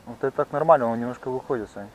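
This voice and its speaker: A man's voice: medium pitch, rapid-fire. A man chatting casually as he films a fishing outing on a pond bank. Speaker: angler filming a fishing outing. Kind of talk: casual chat while fishing. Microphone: handheld camera. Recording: noisy outdoor recording, insect hum.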